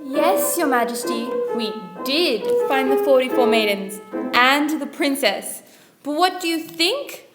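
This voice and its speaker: A woman acting as a loyal, quick-witted young lady of a royal court in a radio play. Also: high voice, animated, fairly bright tone, roomy sound, faint music behind. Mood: smooth, cunning.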